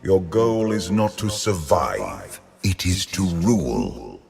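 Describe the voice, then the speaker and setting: An ancient, imperious game villain, a man with an extremely deep, gravelly voice. He speaks with slow, deliberate menace and lofty contempt, like a ruler lecturing a disappointing subject. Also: expressive, darkish tone, heavily acted. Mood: stern, commanding. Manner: slow, deliberate, pompous, condescending. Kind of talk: theatrical video game villain acting.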